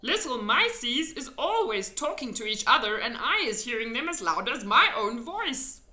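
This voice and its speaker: Woman playing an earnest big friendly giant, swooping high. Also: very animated, moderate pace, sharp tone, reverberant, exaggerated voice. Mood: wonderstruck. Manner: muddled folksy grammar, emphatic delivery.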